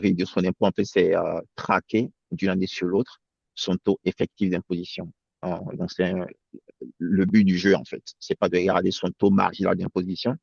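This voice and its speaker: A man's voice: sits low, vocal fry, a little mellow, breathy edge, no room sound. A man who grew up in France, presenting as an accountant in a webinar over a video call, hesitant and full of fillers and restarts. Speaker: accountant guest. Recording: dull lo-fi sound.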